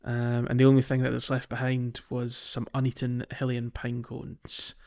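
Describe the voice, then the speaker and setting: A slightly lo-fi recording of a man with a mid-range voice. He co-hosts a casual gaming podcast and tells things in a rambling fashion, often pausing and restarting as he goes. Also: mid-range voice, monotone, gravelly, a little mellow. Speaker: podcast co-host.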